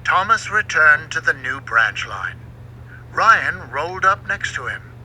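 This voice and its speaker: Bright-voiced man reading a children's story, slow and animated. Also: fairly high pitch, poor audio. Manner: expressive, dramatic read-aloud delivery. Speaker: book narrator. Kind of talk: scripted storybook narration for children. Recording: home recording with faint background noise.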